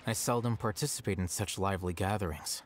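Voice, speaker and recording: A man playing a cool, rational young scholar in a video game, speaking in a measured, articulate, dry manner. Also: sits low, background noise, lightly acted. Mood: reflective. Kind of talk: video game drama dialogue.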